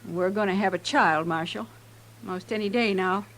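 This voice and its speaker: A woman's voice: mid-range voice, normal speed, natural voice. An American woman playing an anxious, pleading young expectant wife in a 1950s radio western. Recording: noisy old radio recording with hum.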